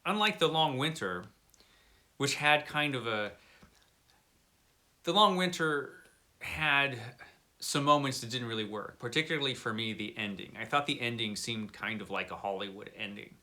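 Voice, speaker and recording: A man with a very bright, forward voice at an average pitch, hosting a book vlog. He speaks casually and conversationally as he reviews, slipping in wry, playful asides. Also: moderate pace.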